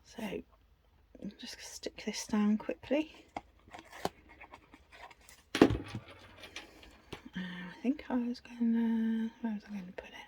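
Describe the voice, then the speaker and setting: A woman's voice: a little high, slow pace, pauses often, heavy background noise. A British woman giving a casual, unscripted craft tutorial in a chatty, self-deprecating way. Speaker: craft video host.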